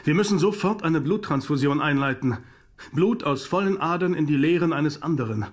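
Older professor, vampire hunter male actor: husky, solemn, commanding, urgent audio-drama delivery. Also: fairly high pitch, moderate pace, close to an everyday voice. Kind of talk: German audio drama acting.

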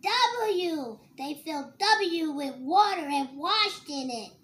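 A child reading a picture book aloud in a slow sing-song way, letter by letter. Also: high-pitched, animated, fairly bright tone.

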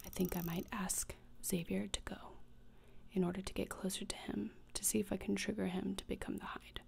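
Young woman doing a morbid goth schoolgirl for asmr roleplay, whispery n creaky, totally deadpan, kinda disdainful, right up on the mic. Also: mid-range voice, a little fast. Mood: deadpan whisper. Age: young adult. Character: gloomy, morbid, deadpan gothic teenage schoolgirl. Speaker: ASMR creator. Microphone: close desktop microphone, seen.

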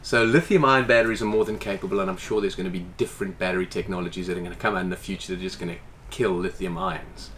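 A man's voice: deep voice, racing, hardly any pauses, slight background noise. A man in his forties, a boat owner, explaining casually in an interview inside a boat's salon, gesturing as he talks. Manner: animated, gestures with hands while explaining.

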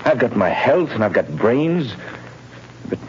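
An earnest young husband out of work, honest and desperate. His pleading voice swings widely in pitch. It comes through a dull, slightly noisy vintage radio recording. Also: very animated, a little slow. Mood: downcast, worried. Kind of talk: 1930s radio drama acting.

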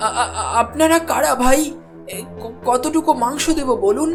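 A woman's voice: high voice, a little slow, soft background music. Woman doing a stammering shifty meat seller. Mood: nervous, flustered. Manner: stutters nervously.

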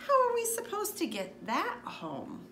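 Brief: woman voicing a worried storybook mom, swooping high when upset. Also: huge pitch swings, measured pace, sharp tone, roomy sound, slight background noise, full character voice. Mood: exasperated. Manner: exasperated, rising exclamations.

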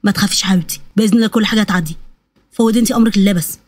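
Woman as Egyptian husband, lowered pitch, devoted, placating, fast, storybook drama. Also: darkish tone, no room sound, lightly acted. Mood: anxious, tender. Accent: Egyptian Arabic.

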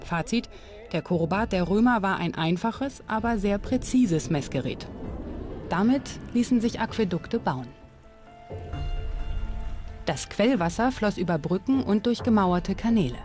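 A woman's voice: medium pitch, lively intonation, measured pace, long pauses. A woman reading a scripted documentary narration as the narrator. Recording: music bed under the voice. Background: background music.

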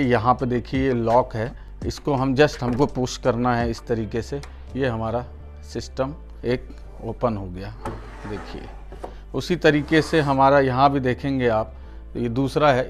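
An Indian man hosting a product unboxing and demonstration, explaining things step by step to viewers, often repeating his points and addressing them in a friendly, familiar way. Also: medium pitch. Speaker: product reviewer and channel host.

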